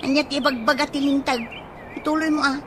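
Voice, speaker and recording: A woman with an Ilocano accent playing a bossy, nagging wife with a sharp tongue in a radio comedy drama. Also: sits high, fairly even intonation, fairly quick, natural voice. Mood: scornful. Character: bossy, scolding wife stirring up an inheritance feud. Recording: noisy ambience with chirping birds.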